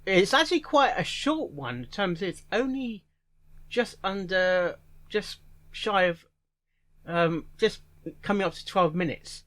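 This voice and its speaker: Man with a swooping, animated pitch. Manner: halting, interjecting, echoing phrases. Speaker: host of a reaction video. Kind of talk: casual reaction commentary.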